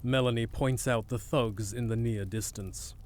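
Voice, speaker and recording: Mid-pitched male audio drama narrator. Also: steady tone, a little mellow. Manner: measured, descriptive storytelling.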